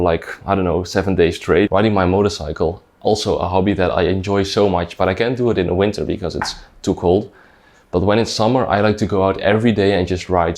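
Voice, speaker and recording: A man with a notably low, deep voice speaks in English, which is not his first language; he grew up speaking Dutch. He explains ideas in a thoughtful, conversational manner, often pausing on "like" and restarting his sentences. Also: a little mellow. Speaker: language learning creator. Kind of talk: reflective talking-head explainer.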